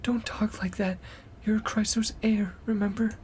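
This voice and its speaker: Woman acting a breathy, desperate young warrior sister. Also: mid-range voice, flat delivery, unhurried, a little mellow, a little hiss or hum, lightly acted. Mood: defiant, grieving. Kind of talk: dramatic game voice acting.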